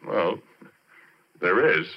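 An actor in a 1940s radio drama playing an easygoing, rough-and-ready oil driller who talks in folksy, colloquial style. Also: male voice, low-pitched, expressive, extremely slow, heavily acted. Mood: easygoing, hearty. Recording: muffled 1940s radio recording.

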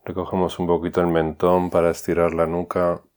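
A man guides a meditation in a calm, unhurried way, leaving long pauses between instructions. His voice sits very low and stays almost flat, with a smooth, resonant tone.

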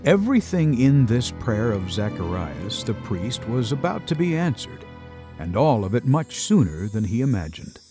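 A man's voice: mid-range voice, very animated, normal speed. A man narrating a Bible story in a scripted, solemn, dramatic storytelling style. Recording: music under the voice. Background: music.

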